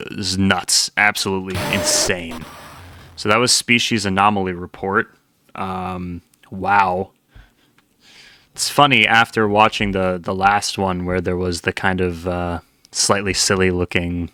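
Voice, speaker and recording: A man giving casual reaction commentary as a channel host, thinking aloud in a halting way. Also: deep voice, sharp tone, dry recording, clean studio sound.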